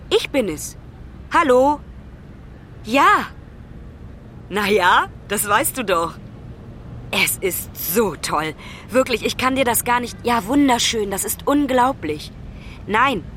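High-voiced daughter on the phone. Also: female voice, fairly bright tone, slight background noise. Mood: awed, breathless delight. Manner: halting, conversational, breaking off mid-sentence. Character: travelling daughter, a musician, anxiously phoning her mother. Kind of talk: naturalistic German radio drama acting.

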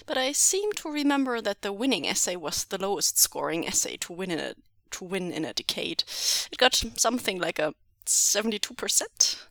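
A woman's voice: medium pitch, big pitch swings, moderate pace, creaky, hardly any pauses, fairly bright tone, dry recording. A woman reading aloud and voicing a smug young man who mocks his captors. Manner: smirking, mocking. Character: smug, defiant young man held captive.

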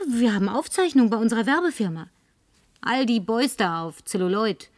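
An actress in a German radio play playing a flirtatious, tipsy, insistent career woman with coquettish, languid phrasing and breathy murmurs. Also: on the high side, lively intonation, fairly quick, dry recording, lightly acted. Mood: eager, coaxing.

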